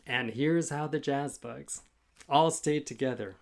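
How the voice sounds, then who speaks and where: Man, children's author, unhurried pace, expressive rhyming storytime reading, chanting manner. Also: mid-range voice, gravelly. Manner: theatrical, chanting, sing-song counting. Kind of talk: expressive musical storytime narration in rhyme.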